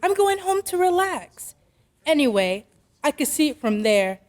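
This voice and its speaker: A woman plays a practical, skeptical working mother in vintage radio drama. Her voice is high, her delivery dry and businesslike. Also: big pitch swings, a little slow, darkish tone. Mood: brisk, wry. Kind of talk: old-time radio drama acting.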